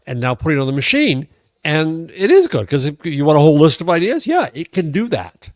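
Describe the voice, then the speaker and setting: An older man chatting as a podcast co-host, giving his opinions in a wry, halting way. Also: fairly high pitch, very animated, no room sound, studio quality. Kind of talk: casual opinionated discussion.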